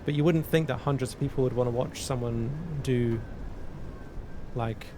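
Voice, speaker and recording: Halting man streaming over a rumble. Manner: thinking aloud, halting, repeats words. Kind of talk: casual live-stream chat, reading chat and working math aloud. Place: cold indoor room. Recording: noisy with a low rumble.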